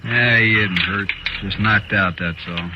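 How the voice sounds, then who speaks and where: An actor playing a cold-blooded, taunting gunrunner in an old-time radio western, with a sneering, menacing delivery. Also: male voice, fairly low pitch, unhurried, lightly acted. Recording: muffled, noisy old radio recording.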